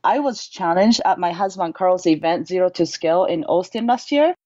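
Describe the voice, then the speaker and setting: A woman's voice: on the low side, expressive, normal speed, mellow, no echo. A woman in her thirties with a Japanese accent, answering interview questions in an earnest, reflective way. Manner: earnest, reflective, plain phrasing. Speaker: guest, mindset coach. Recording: slightly dull, dry audio.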